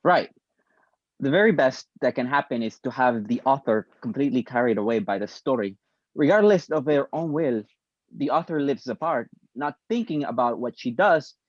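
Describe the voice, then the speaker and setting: A man's voice: medium pitch, darkish tone, dry recording, natural voice. Dry, slightly muffled theater mentor played by a man. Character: tender, learned male theater director and mentor. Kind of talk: stage play table read.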